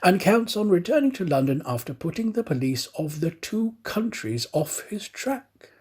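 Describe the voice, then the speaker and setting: A man voicing a measured, sceptical consul in a storybook, formal and questioning. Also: sits high, sing-song, a little mellow, husky, voice pushed up, exaggerated voice.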